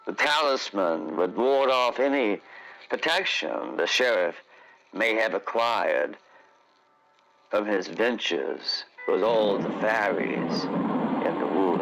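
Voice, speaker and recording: A man narrating a scripted fantasy tale as a radio drama voice actor, with a solemn, theatrical, deliberate delivery. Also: fairly low pitch, a bit bright, rough recording.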